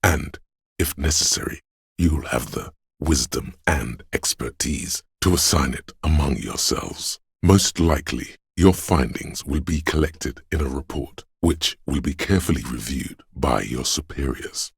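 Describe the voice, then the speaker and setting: An actor voicing a grave, compassionate inner voice in a video game, narrating with measured, solemn deliberation in a husky, gravelly tone. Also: male voice, very deep voice, dry recording, clean studio sound, heavily acted. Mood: measured, admonishing. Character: Empathy, a man's inner voice, grave, compassionate, knowing.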